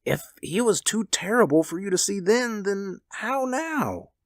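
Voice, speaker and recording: A man's voice: sits high, big pitch swings, takes it slowly, pushed and bright, no room sound, studio quality, voice pushed up, full character voice. A man voicing a fearful old husband in a storybook reading, with an unsteady, stammering delivery. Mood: shaken, unsteady.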